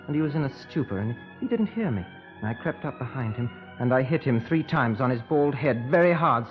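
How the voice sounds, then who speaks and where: An actor playing a heartbroken young man, haltingly confessing to murder in an emotional 1950s radio drama style. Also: mid-range voice, close to an everyday voice. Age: young adult. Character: heartbroken eighteen-year-old lad confessing to murder. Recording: muffled old radio broadcast recording.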